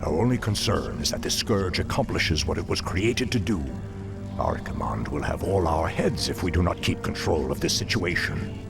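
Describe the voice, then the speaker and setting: A deep, creaky demon overseer for a fantasy game. He sounds severe and commanding, demanding obedience, while loud music plays behind him. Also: animated, normal speed. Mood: stern warning. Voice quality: gravelly. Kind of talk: dramatic video game acting.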